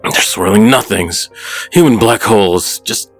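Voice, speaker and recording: Man voicing a scornful adventurer, low, slow and gravelly, sighing contempt, audio drama, faint music behind. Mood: bitter. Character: bitter, world-weary young man adventurer.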